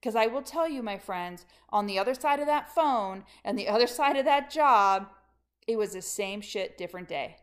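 A woman's voice: mid-range voice, expressive, normal speed. A middle-aged woman coach telling her own story in a candid, emphatic podcast monologue, speaking straight to her listeners as friends.

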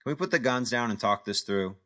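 A man's voice: medium pitch, lively intonation, a little fast, pushed and bright, voice pushed up. Guy doing a cocky talky ex-actor, brash, a bit raised. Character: cocky male ex-actor in over his head.

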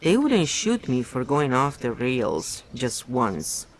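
A man's voice: mid-range voice, big pitch swings, slow, rough recording. A man reading aloud and voicing a pudgy, frightened middle-aged prisoner who pleads eagerly and almost blubbers. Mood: pleading.

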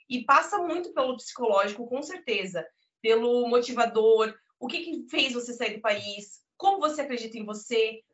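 A woman from Brazil talks earnestly about her own story, with a voice pitched a little high. The audio sounds muffled and lo-fi. She keeps leaning on the point and asks "né?" as she goes. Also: a bit bright. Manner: earnest, emphatic storytelling with frequent "né?". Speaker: podcast guest. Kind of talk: conversational interview.

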